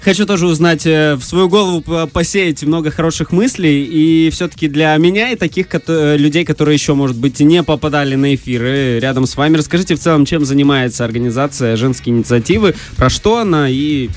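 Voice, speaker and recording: A man's voice: a little high, fairly quick, hardly any pauses, soft background music. A man co-hosting a morning radio show, introducing and questioning in a polite, slightly hesitant way. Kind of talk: radio interviewing. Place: radio studio.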